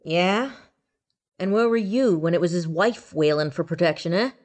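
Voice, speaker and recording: A woman's voice: on the low side, lively intonation, normal speed, close to an everyday voice. A woman voicing a sneering undead female marsh ghoul with a crude, gurgling, mocking manner.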